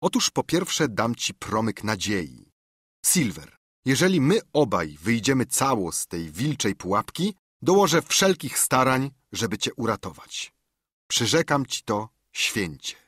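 Kindly doctor, voiced by a man: earnest, husky, dry close read for an audiobook. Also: medium pitch, big pitch swings, a little slow, fairly bright tone, dry recording, exaggerated voice. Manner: earnest, urgent pleading. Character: kindly earnest middle-aged male doctor. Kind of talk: audiobook character reading.

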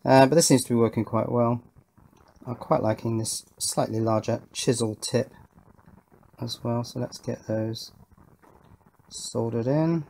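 Man, mid-range pitch, casual tutorial commentary, thinking aloud, hobby host at an indoor workbench. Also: a little slow, vocal fry, long pauses, warm tone, poor audio. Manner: thinking aloud while working. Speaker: host of an electronics build channel.

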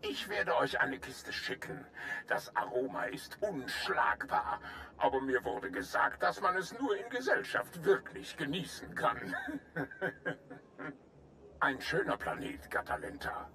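Courtly alien commander in a game, chatty n polite, sharp bright voice, bit of background noise. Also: male voice, on the high side, very animated, a little slow, full character voice. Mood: cordial. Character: genial alien Republic military commander who loves tea. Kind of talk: video game cutscene acting.

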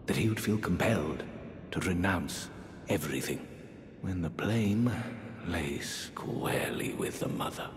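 An older man playing a genteel, eerie aristocratic sorcerer priest in a fantasy game, speaking in theatrical archaic phrases with a breathy voice that drops toward whispers. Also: lively intonation, unhurried. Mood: sorrowful, bitter. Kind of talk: dramatic fantasy game character dialogue. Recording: echoey hall reverb, faint rumbling noise.

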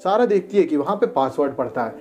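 A man delivers scripted story narration in a slightly high, mellow voice. Soft music plays behind him as he recaps a film. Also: animated, quick pace. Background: background music.

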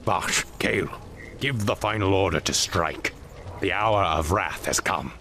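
A man's voice: low-pitched, unhurried, bright, forceful tone, slight background noise, full character voice. A man voicing a brooding, imperious half-demon warlord in a game cutscene, grandiose and commanding. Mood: grave, resolute. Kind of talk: dramatic video game cutscene acting.

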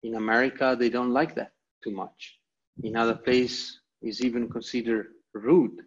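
A man's voice: medium pitch, fairly even intonation, moderate pace, breathy edge. An Italian man with an Italian accent presenting a motivational online lecture, speaking in emphatic, repeated short phrases over a video call. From Italy. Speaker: guest presenter. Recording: dull, lo-fi video call audio.

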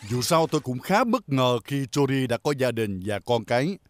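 A man voicing a solemn veteran robot warrior in a cartoon dub, telling things in a measured, formal way. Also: medium pitch, very animated, lightly acted. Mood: solemn, nostalgic. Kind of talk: Vietnamese cartoon dubbing.

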